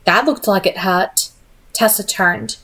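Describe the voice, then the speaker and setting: A woman reading a novel aloud in an expressive amateur audiobook style. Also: mid-range voice, takes it slowly, husky. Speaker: reader of a novel read-aloud.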